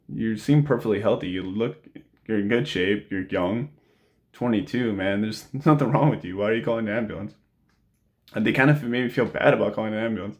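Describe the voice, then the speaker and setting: A man in his late twenties with a somewhat low voice speaks informally to camera at home. His delivery is casual and earnest, like a personal video diary recorded in a room. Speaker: vlogger.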